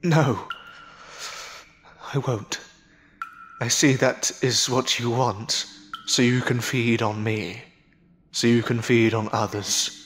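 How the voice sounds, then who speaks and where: A man's voice: medium pitch, steady tone, takes it slowly, pauses often, fairly bright tone, slight background noise. A man playing a loyal, grieving hero in an audio horror drama, with an earnest, solemn and dramatic delivery. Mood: grave resolve. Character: grieving, self-sacrificing, loyal man defying a monster. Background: music.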